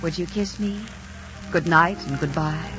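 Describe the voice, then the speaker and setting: A woman's voice: sits low, unhurried. An actress playing a proud, romantic young lady in a 1940s radio drama. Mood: wistful, daring. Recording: muffled 1940s radio recording, loud music.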